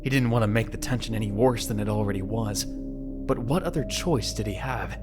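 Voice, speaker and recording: Male narrator, lowish voice, scripted dramatic storytelling, clear music bed underneath. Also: normal speed. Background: background music.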